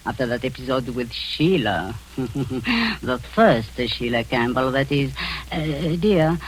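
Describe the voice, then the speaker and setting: A woman's voice: extremely low pitch, animated, slow, a little hiss or hum, exaggerated voice, low-quality recording. An actress playing a scheming older female spy who poses as a kindly aunt, speaking in a sugary, condescending way that turns cold and commanding, in 1940s radio acting style. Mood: coldly scheming. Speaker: villainous spy posing as an aunt.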